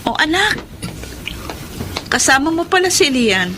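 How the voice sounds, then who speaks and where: A woman's voice: high voice, big pitch swings, a little slow. A woman with a Filipino accent playing a polite, businesslike, middle-aged lady of the house in a radio drama. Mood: brisk, polite. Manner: businesslike, chatty, Taglish-speaking employer. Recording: faintly noisy radio drama recording.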